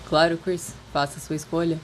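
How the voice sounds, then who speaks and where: Woman as older schoolboy, Brazilian accent, polite, bookish, guarded, slightly hesitant, lowish, storybook dialogue. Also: level tone, moderate pace, a little hiss or hum, natural voice. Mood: friendly, helpful.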